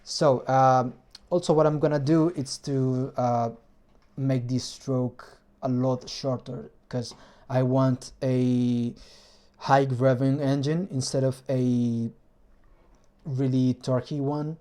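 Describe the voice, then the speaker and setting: A man with a Spanish accent, Spanish as his first language, casually explaining a hobby engine build in a vlog and often pausing to find words in his second language. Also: medium pitch, little pitch movement, warm tone.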